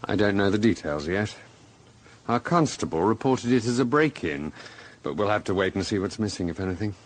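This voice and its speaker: A man's voice: fairly low pitch, expressive, fairly quick, lightly acted. A man acting a composed, inquisitive British police inspector in a radio drama, polite and understated. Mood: probing, sober.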